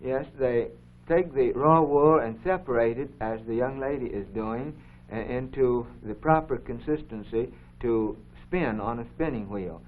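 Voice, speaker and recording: A thin, band-limited 1950s broadcast recording carries a man's voice of medium pitch. He explains things step by step like an educator, in a formal, measured way, commenting on what is being shown. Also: narrow, tinny audio, a little hiss or hum. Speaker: co-host of an educational program. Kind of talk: unscripted explanatory commentary over film footage.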